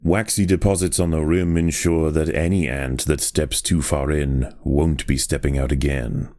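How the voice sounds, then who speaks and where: A man reading scripted nature documentary narration for a video game in a measured, deliberate, grave storytelling manner. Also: very deep voice, level tone, a little mellow.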